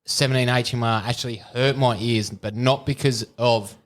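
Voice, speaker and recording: A man's voice: medium pitch, steady tone, moderate pace. An Australian man co-hosting a podcast, an easygoing storyteller in casual chat. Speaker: podcast co-host.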